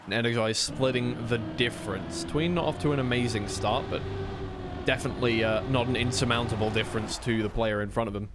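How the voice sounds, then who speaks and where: A man's voice: mid-range voice, steady tone, fairly quick, background noise. A man commentating live on an esports racing match, casually rambling and correcting himself between bursts of play-by-play. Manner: rambling, self-correcting asides.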